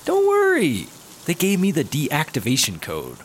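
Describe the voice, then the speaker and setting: A man as a cocky, animated slacker buddy. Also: on the high side, a little slow, slight background noise. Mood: cocky, casual. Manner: breezy, argumentative. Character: cocky, laid-back, hungry buddy-cop slacker. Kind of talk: sci-fi comedy audio drama acting.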